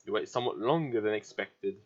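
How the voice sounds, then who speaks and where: A man's voice: lowish voice, brisk, muffled recording. A man doing casual playthrough commentary as a gaming streamer.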